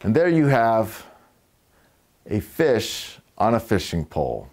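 A middle-aged man with a fairly low voice talks through a balloon-twisting demonstration in his living room. His delivery is relaxed and chatty, full of small fillers and dry little asides. The sound is close and clean from a lapel mic. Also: slow pace. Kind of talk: casual tutorial demonstration. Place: living room with fireplace, indoors.

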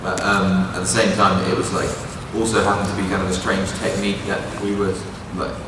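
A low, flat-voiced man speaks slowly and thoughtfully, hedging as he goes. He is picked up from a distance in a large, echoey convention hall with a long reverb tail. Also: background noise. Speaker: panelist, animator. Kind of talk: panel discussion. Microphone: handheld mic on panel table, far camera.

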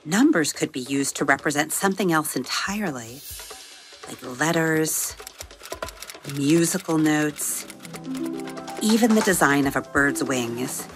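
Low-voiced woman reading a bedtime story. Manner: expressive, slowing and softening on vivid words. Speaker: storybook narrator and episode host. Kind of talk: children's bedtime story narration. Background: soft music under the reading.

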